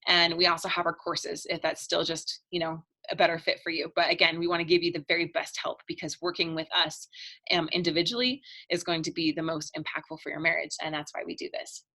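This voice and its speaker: A woman's voice: sits low, steady tone, brisk, pushed and bright. Upbeat woman coach, losing her voice. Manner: upbeat, chatty, laughs between points. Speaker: marriage coach. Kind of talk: live online webinar pitch.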